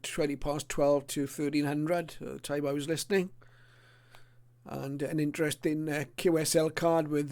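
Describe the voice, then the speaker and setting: A middle-aged or older man presenting a casual illustrated hobby talk in a hesitant, unscripted way with frequent pauses. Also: fairly high pitch, darkish tone, clean tone.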